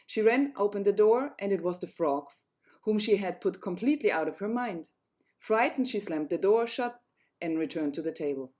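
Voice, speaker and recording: A woman's voice: medium pitch, expressive, moderate pace, mellow. A woman storyteller narrating a fairy tale, reading it aloud.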